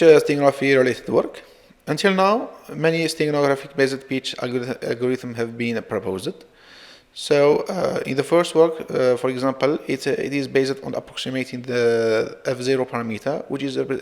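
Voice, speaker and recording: Male researcher presenting slides; stop-start hesitant delivery, gravelly fry, echoing hall-like sound. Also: mid-range voice. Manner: halting, hesitant delivery with frequent repeats. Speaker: researcher presenting own work. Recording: reverberant, hall-like echo.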